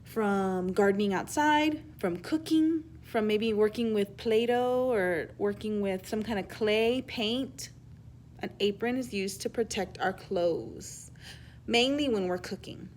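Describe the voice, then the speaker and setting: A woman reading a children's story aloud in an expressive, teacherly way, pausing to explain words and ask questions. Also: mid-range voice, a little hiss or hum. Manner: animated, emphatic reading with hushed asides.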